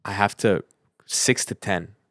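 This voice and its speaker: A young American man with a notably deep voice co-hosts a podcast. He speaks casually and earnestly, in the manner of someone handing out advice. The sound is very dry. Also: measured pace, a bit bright, dry recording. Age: young adult. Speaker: podcast co-host.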